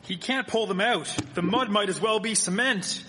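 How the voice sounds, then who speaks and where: A man's voice: sits high, moderate pace, sharp tone, slight background noise. A man playing a blunt, skeptical 1870s townsman in a live radio drama. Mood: exclaiming. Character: grumbling, skeptical townsman in 1873, fond of parties. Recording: echoey hall, live stage recording.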